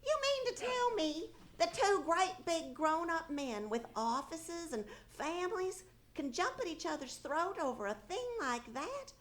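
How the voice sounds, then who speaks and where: A woman's voice: extremely high pitch, big pitch swings, a little slow, few pauses, fairly bright tone, slight background noise, full character voice. An actress playing a folksy, puzzled and mildly exasperated mother in an old-time radio comedy.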